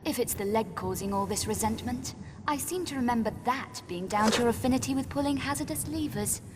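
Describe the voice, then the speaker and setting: A cool, sardonic adventuress from a video game , voiced by a woman. She is composed and dryly witty, her voice ringing with long reverb over clear background noise. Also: fairly high pitch, brisk, lightly acted. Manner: dry, composed, wry. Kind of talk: dramatic video game cutscene acting.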